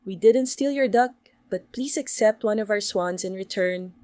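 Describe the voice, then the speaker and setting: A woman's voice: mid-range voice, moderate pace, mellow, no room sound, studio quality, close to an everyday voice. A woman voicing reluctant, apologetic householders in a children's story, hesitant and conciliatory. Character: reluctant, apologetic householders giving lodging and gifts.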